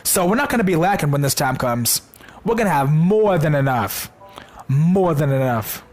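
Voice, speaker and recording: A man preaching in a casual, slangy way, hoarse from a sore throat, excited and repeating words for emphasis. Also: a little high, moderate pace, soft background music. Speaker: religious video host.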